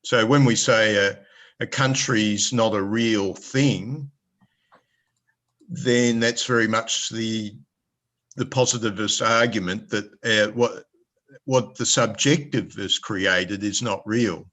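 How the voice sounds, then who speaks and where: Reflective low-voiced man. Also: fairly even intonation, slow, a bit bright. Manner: reflective, philosophical, hesitant restarts. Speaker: book discussion participant. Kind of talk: casual group discussion.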